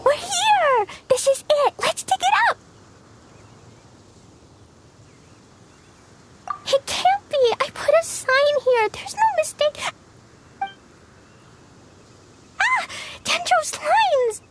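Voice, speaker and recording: A woman voicing an excitable, rash little girl in a video game, bubbly and full of exclamations. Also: extremely high pitch, measured pace, hardly any pauses, noisy background. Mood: excited. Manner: bubbly, exclaiming, humming while searching. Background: game music.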